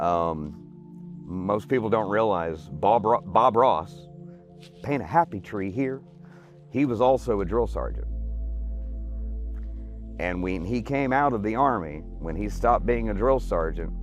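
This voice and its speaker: An American man in his forties, an Army veteran, telling his own story informally in plain, colloquial words. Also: low-pitched.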